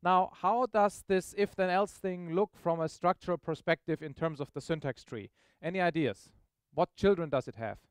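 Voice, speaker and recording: Male tech presenter, high clear voice, informal and explanatory, dry close sound. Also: moderate pace, few pauses, dry recording. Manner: informal, explanatory, checks in with questions. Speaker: software presenter. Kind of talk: live technical demo to an audience. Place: meetup presentation room, on stage.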